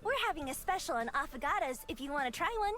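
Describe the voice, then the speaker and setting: A woman's voice: sits high, lively intonation, quick pace, a bit bright, faint music behind, pitched above the performer's own voice. A woman voicing a cheerful young female café barista with a bubbly, upbeat service manner. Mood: chirpy.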